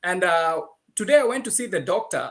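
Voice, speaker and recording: A man with a Kenyan accent telling his own story as a guest on a video call, halting and full of fillers. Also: sits high, animated. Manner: halting, many fillers, laughs often. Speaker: guest giving a testimonial. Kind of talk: casual personal story.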